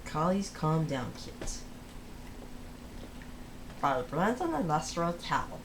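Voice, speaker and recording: Kids book reader, really slow and halting, storytelling host, noisy recording. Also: medium pitch, sing-song. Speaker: children's book read-aloud host. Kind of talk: read-aloud storytelling for children.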